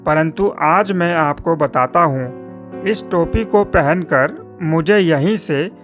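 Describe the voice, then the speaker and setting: A man's voice: fairly high pitch, slow pace, fairly bright tone, soft background music. A man playing a wily but polite poor village barber in a cartoon story, earnest and deferential. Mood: sly confidence.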